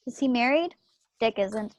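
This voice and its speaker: A woman playing a sharp-witted, wry young small-town woman of the 1940s in a play reading over a video call. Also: a little high, lively intonation, moderate pace, background noise, natural voice. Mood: reserved, gentle. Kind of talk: stage play table read. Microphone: computer microphone on a video call.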